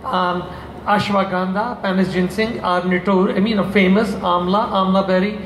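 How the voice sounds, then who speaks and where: A man's voice: high voice, fairly even intonation, moderate pace, fairly bright tone, slight background noise. An older man on a convention panel talking casually about supplement formulas, rambling with many restarts and asides into a handheld mic. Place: large convention hall stage. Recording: echoey convention hall.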